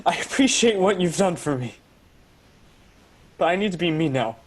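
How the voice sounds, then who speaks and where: A man playing an anguished, defiant young male survivor with a husky voice in a screenplay table read. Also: high voice, animated, moderate pace. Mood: earnest, subdued.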